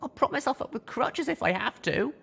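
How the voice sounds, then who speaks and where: Man voicing a high-pitched, stubborn young mare. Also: sing-song, a little fast, creaky, a bit bright, a little hiss or hum, heavily acted. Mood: weary, insistent. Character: young mare pony heroine, weary, stubborn, earnest.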